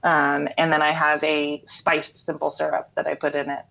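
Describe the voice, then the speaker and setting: Low, even-voiced American woman, slightly muffled. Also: moderate pace, creaky. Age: middle-aged. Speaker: interview guest, singer-songwriter and writer. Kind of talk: casual interview chat. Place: remote online call from home. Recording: dull lo-fi online call audio.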